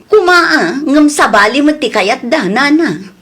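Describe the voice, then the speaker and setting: An actress in a radio drama playing a worried wife who protests earnestly. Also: female voice, high voice, animated, measured pace, fairly bright tone.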